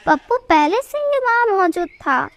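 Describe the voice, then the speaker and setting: A woman's voice: very high-pitched, very animated, moderate pace, fairly bright tone. A woman reading scripted narration for a cartoon story.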